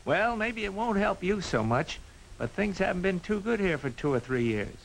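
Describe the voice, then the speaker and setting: An actor playing a reasonable, reassuring small-town doctor in a 1950s film drama, measured and practical, on an old hissy soundtrack. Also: male voice, sits high, big pitch swings, gravelly, full character voice, low-quality recording.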